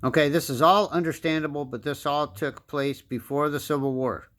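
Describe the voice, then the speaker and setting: A man's voice: on the high side, fairly even intonation, normal speed, a bit bright. An American man in his fifties giving an emphatic, lecturing opinion monologue as a political commentator, repeating phrases for stress. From United States. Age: 50s.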